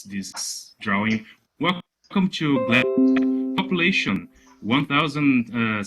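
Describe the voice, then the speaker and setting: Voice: mid-range voice, sharp tone, low-quality recording. A speaker giving casual, joking commentary in a sardonic, rambling and sweary way.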